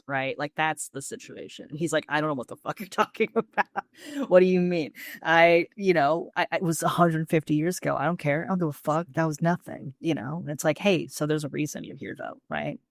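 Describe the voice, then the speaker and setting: A low-pitched woman co-hosts a casual recap podcast. Her delivery is informal, slangy and wry. The recording is dry and free of echo. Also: fairly even intonation, brisk. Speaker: podcast co-host.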